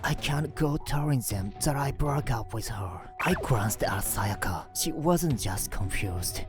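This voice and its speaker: A man's voice: mid-range voice, expressive, a little slow, over music, close to an everyday voice. A man voicing an anxious, guilt-ridden young office worker, narrating a manga dub dramatically and earnestly. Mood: troubled. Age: young adult. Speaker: narrator and lead character.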